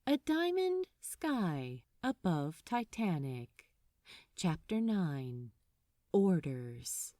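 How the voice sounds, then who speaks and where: A woman narrates fiction in a lowish voice with deliberate, suspenseful phrasing. Her pacing is very slow and her intonation highly varied, giving a dramatic storytelling feel. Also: very animated, dark tone, no room sound, clean studio sound.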